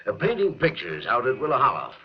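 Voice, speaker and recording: An American actor playing a meddling, suspicious small-town doctor with a fussy, insistent manner in a 1950s radio drama. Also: male voice, medium pitch, wildly swooping pitch, fast, dull audio. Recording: noisy, band-limited old radio recording.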